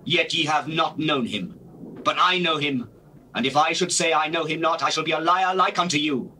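An actor playing a solemn, authoritative holy teacher, declaiming scripture in an audio drama. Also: male voice, fairly high pitch, moderate pace, sharp tone. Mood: firm, solemn. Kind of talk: dramatized scripture reading, stage-like acting. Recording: noisy old cassette tape recording.

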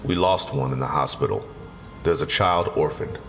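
An actor playing a wise, stern older garage owner and community leader in an audio drama, plain-spoken and authoritative. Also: male voice, extremely low pitch, normal speed, vocal fry. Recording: long reverb, music underneath.

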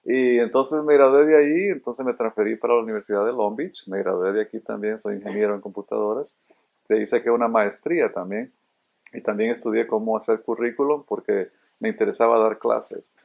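Low-pitched gravelly man from Honduras, middle-aged, earnest and halting, repeats himself, interview guest in a robot-filled room, somewhat dull lo-fi recording. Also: steady tone, darkish tone. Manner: earnest, repeats words while searching for them. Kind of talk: casual interview answers. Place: indoor room full of robots.

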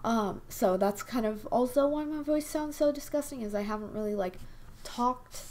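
A young woman hosting a casual game video, her voice hoarse and quiet from a cold, rambling with lots of fillers. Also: a little high, moderate pace. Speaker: gaming channel host. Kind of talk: casual chat over gameplay. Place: home desk at night.

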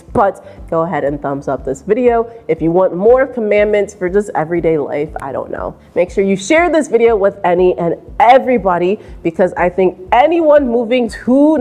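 Sassy American woman vlogger. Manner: sassy and animated, mimics voices in high squeals. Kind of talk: direct-to-camera advice vlog. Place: indoor room with painting behind.

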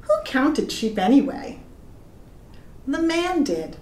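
Middle-aged woman, children's read-aloud, unhurried pace, expressive delivery, echoey room. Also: sits high. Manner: deliberate, expressive, addressing young listeners with questions. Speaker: pastor reading stories to children. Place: indoor room with plain walls.

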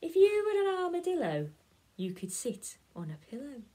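A woman's voice: high-pitched, wildly swooping pitch, moderate pace, warm tone, voice pushed up. A woman voicing a chatty, teasing male dog in a read-aloud picture book.

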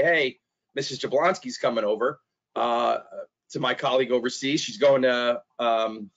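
A middle-aged man giving a webinar presentation, hoarse and losing his voice, halting with fillers and restarts and clearing his throat. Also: mid-range voice, a bit bright. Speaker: trade specialist presenter. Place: small office. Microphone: video call. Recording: dull lo-fi video call audio.

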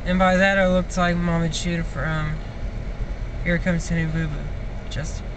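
A young man talking casually to camera as a vlogger in a car, in halting, broken phrases with long gaps. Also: on the high side, flat delivery. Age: young adult. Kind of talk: casual vlog talk to camera. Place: inside a parked or standing car. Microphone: phone or camera at arm's length. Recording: clear vehicle and outdoor noise.

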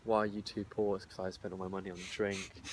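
A man's voice: low voice, flat delivery, quick pace, slight background noise. Young man, stammering, reflective, recorded outdoors by a far camera. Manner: thoughtful, stammers and repeats words. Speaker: discussion participant. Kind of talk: casual group discussion. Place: outdoors at a garden table.

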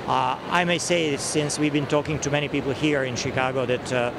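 A middle-aged man with a Serbian accent, a native Serbian speaker, promoting a race in careful, halting English in a busy indoor hall. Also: mid-range voice, steady tone, vocal fry. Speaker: race representative. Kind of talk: expo interview. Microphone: handheld mic held by interviewer. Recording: noisy expo hall background.

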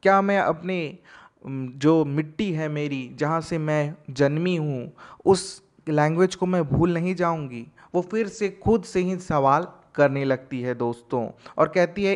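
Man, teacher's voice-over, unhurried delivery, slightly raised pitch, explaining a poem line by line. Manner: reads verse lines very slowly, then explains in a mix of Hindi and English. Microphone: voice-over over text slides.

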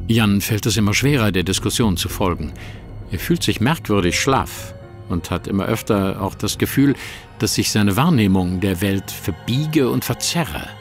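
A male narrator reading scripted narration for an audio drama in a measured way. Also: lowish voice.

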